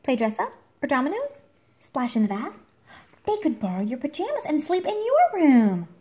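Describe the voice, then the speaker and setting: A woman reading a picture book aloud to a child at home, expressively, with theatrical emphasis and dramatic pauses. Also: high-pitched, wildly swooping pitch, dark tone. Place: indoor room at home. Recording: home recording with clear background noise, clicks.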